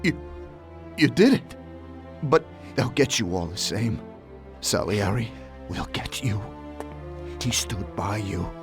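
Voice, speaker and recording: A bitter, wounded gangster in a video game, sneering at his old friend in a slow, swinging voice that rises and falls. Loud score music plays behind him. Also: on the low side, sing-song, exaggerated voice. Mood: taunting. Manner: taunting, pained groans. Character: bitter dying male gangster, former friend. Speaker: game character.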